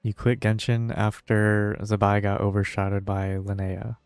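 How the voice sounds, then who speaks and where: A man's voice: lowish voice, completely flat delivery, unhurried, clean tone, no echo. A man streaming a game and chatting casually, rambling in a hesitant, laid-back way as he thinks aloud. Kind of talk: casual livestream chat while gaming. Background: faint music.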